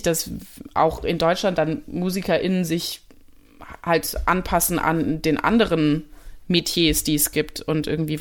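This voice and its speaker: A young woman from Germany talks through her answers in an unhurried interview. Her voice sits a little low, and she pauses and restarts as she works out her thoughts. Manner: thoughtful, with many fillers and restarts. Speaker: musician and interview guest. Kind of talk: casual interview conversation.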